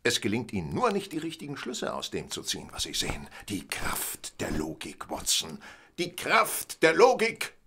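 Husky, animated, self-assured master detective. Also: male voice, lowish voice, normal speed, full character voice. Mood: emphatic, lecturing. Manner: didactic, theatrical, precise deduction. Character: brilliant, self-assured male English detective, lecturing his friend. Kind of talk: radio drama acting in German.

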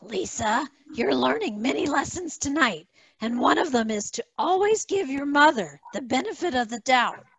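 A woman on a video call playing a patient, soothing cartoon mother and wife with a creaky voice, in an amateur table read. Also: high-pitched, expressive, moderate pace, full character voice, low-quality recording.

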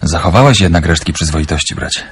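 An actor playing a wry, cocky resistance comrade in a radio drama, with a husky, bantering, ironic delivery. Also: male voice, low voice, lively intonation, fast, no echo, full character voice. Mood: earnest, teasing. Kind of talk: Polish radio drama acting.

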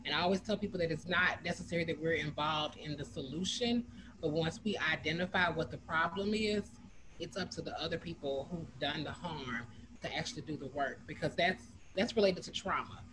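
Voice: mid-range voice, a little fast. A community organizer answering a panel question over a video call, earnest and storytelling, with many fillers. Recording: noisy video call audio.

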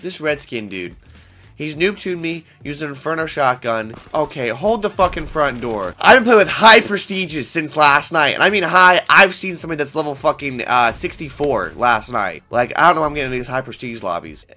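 A man's voice: fairly high pitch, animated, normal speed, pushed and bright, soft background music. A young man in his twenties with a cold and a congested voice, rambling and swearing as he hosts casual gameplay commentary into a headset in a small room at home. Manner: rambling, swears often, frustrated outbursts. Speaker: gaming channel host.

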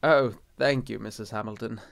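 A man's voice: lowish voice, steady tone, moderate pace, vocal fry. A man playing an earnest, easily flustered bishop in an audio drama, formal and apologetic in manner. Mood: polite, formal. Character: flustered, earnest bishop, polite and harried husband. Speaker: actor in a drama.

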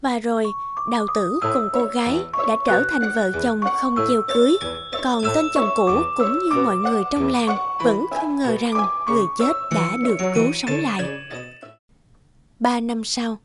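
A woman's voice: on the high side, normal speed, over loud music. A woman giving scripted narration for a folktale as its storyteller.

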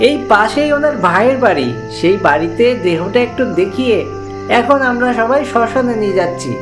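Woman acting as village man, highish voice, sombre, resigned, colloquial, cartoon ghost story, clear background music. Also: lively intonation, brisk, lightly acted. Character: village man, friend in a funeral procession, sombre. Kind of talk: dramatised audio ghost story.

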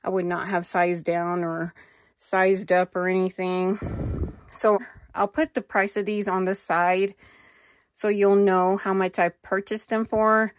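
American woman doing a chatty try-on vlog on her phone in a closet, slow easy pace, dry close sound. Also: mid-range voice, dry recording. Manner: chatty, unscripted, addressing viewers directly. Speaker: fashion vlogger. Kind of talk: casual haul and try-on chat. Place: small indoor walk-in closet. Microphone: handheld phone.

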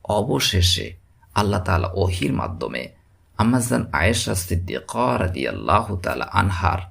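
Male narrator, low creaky voice, solemn religious storytelling, measured and emphatic. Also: sing-song, fairly bright tone. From West Bengal, India.

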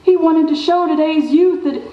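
A woman's voice: very high voice, steady tone, normal speed. A woman playing a breezy, condescending small-town theater director in a radio show performed on stage. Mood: self-assured. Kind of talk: old-time radio show acting on stage. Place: theater stage before an audience. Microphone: stand microphone, camera at a distance. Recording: dull, echoey live stage recording.

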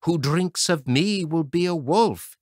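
An enchanted brook , voiced by a man reading a fairy tale, murmurs a slow, ominous chant. The sound is dry and close, with no room around it. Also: a little high, animated, a little mellow, no room sound, pitched above the performer's own voice. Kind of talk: storybook reading.

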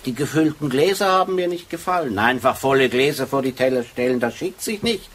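An actor playing a shrewd, grumbling old police sergeant in a radio drama, gruff and wry. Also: male voice, mid-range voice, expressive, a little fast, a bit bright, lightly acted. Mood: wry, probing. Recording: faintly noisy radio drama recording.

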